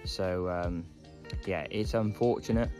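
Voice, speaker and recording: A young man in his twenties filming himself on a phone by a lake, talking casually to camera in a low-key, resigned way with plenty of "um"s. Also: slow pace, a little mellow, faint music behind. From England. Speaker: carp fishing vlogger. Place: outdoors by a lake. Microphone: phone camera held at arm's length.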